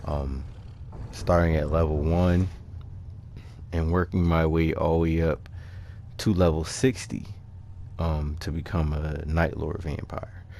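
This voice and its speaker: Low-voiced man casually explaining a game build. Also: little pitch movement, warm tone, a little hiss or hum. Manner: halting, informal slang. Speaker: gaming video creator presenting character builds. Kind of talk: casual unscripted gameplay walkthrough commentary.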